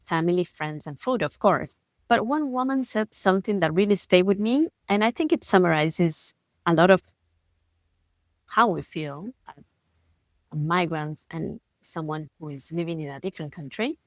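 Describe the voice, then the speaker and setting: Earnest Argentine woman, low voice, dry. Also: dry recording. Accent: Argentine Spanish. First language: Spanish. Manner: thoughtful, earnest, with slow deliberate stresses. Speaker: podcast host. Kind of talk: reflective solo podcast talk.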